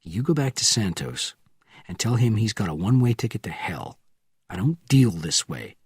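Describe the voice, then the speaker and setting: A man's voice: on the low side, very animated, normal speed, slightly rough, no room sound, clean studio sound, heavily acted. A man voicing a hard undercover operative with a clipped, blunt manner in an audiobook thriller. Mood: menacing, firm.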